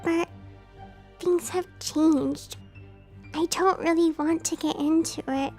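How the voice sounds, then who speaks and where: Anxious sweet schoolgirl, tiny high voice, unhurried hesitant delivery, visual novel acting, music behind. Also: fairly even intonation, warm tone, exaggerated voice. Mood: sad, hesitant. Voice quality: very high, girlish. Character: shy, eccentric teenage schoolgirl science club member, sweet and anxious.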